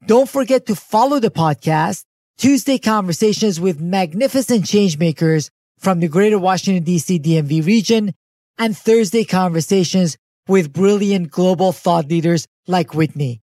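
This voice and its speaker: A man's voice: high-pitched, normal speed, darkish tone, no room sound, studio quality. A man hosting a leadership podcast, introducing and interviewing a guest.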